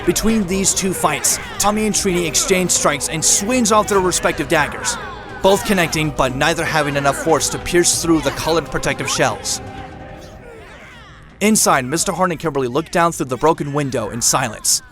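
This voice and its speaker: A man's voice: a little high, moderate pace. A man narrating an action audio drama from a script, describing the scene in the present tense. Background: dramatic music.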